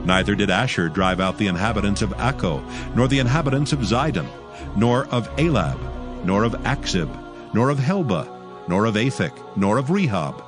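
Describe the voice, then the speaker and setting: A man's voice: fairly low pitch, lively intonation, normal speed, music in the background. A man narrating scripture from a script in a solemn, dramatic storytelling manner.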